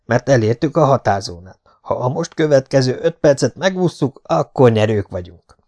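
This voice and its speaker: A man voicing a gruff, tense helicopter pilot in a storybook, curt and urgent. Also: mid-range voice, expressive, darkish tone, voice pushed up, lightly acted.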